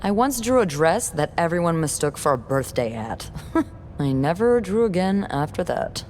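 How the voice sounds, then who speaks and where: An actress playing a wry, warm, teasing young hotel receptionist in a video game, with an expressive, conspiratorial way of talking. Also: female voice, fairly low pitch, moderate pace, a little hiss or hum. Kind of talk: naturalistic video game acting.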